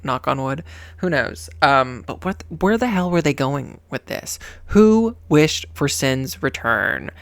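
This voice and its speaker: A reaction host with a mid-pitched voice, talking casually and emotionally. The delivery is dramatic and rambling, recorded close and dry. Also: big pitch swings, slow, creaky, no echo.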